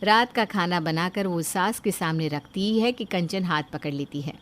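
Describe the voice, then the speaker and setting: A woman's voice: on the low side, normal speed. A woman reading a scripted family story as a narrator.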